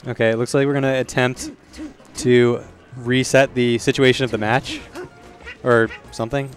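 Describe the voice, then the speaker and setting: A man's voice: mid-range voice, little pitch movement, normal speed, soft background music. A man giving live color commentary at a fighting-game tournament, explaining the technical side of the play. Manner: analytical, explains technical situations. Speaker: esports commentator. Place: tournament venue.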